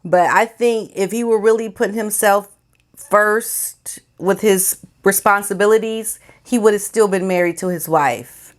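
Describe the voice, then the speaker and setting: An American woman giving informal opinion commentary as a vlogger, speaking in a sassy, emphatic, colloquial way. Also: lowish voice.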